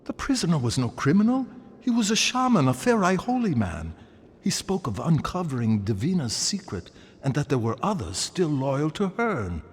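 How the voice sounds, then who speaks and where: A man's voice: fairly high pitch, very animated, normal speed, slight background noise. A man playing an earnest, inquisitive, restless hero in video game cutscenes. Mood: troubled, urgent.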